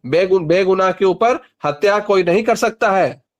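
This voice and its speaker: Emphatic high-pitched man. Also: moderate pace. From Bihar, India (Magadh region). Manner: emphatic, repeats phrases for stress. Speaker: party politician being interviewed. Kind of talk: political interview over a phone call. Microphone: phone call.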